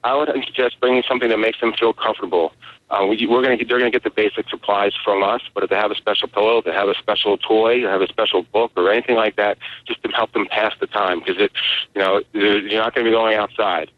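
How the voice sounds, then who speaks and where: A man's voice: lowish voice, steady tone, quick pace, no echo. Man on a tinny phone line. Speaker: relief agency spokesman. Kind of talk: live phone interview. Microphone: telephone.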